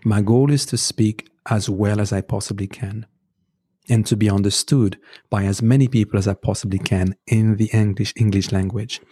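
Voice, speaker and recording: A man with a French accent, whose first language is French, earnestly giving relationship advice as a guest in a conversational interview. He hesitates often, restarting phrases and filling pauses with "um" as he works through his points. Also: fairly low pitch, fairly even intonation, warm tone, husky. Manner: earnest and advising, with frequent fillers and restarts. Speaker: podcast guest.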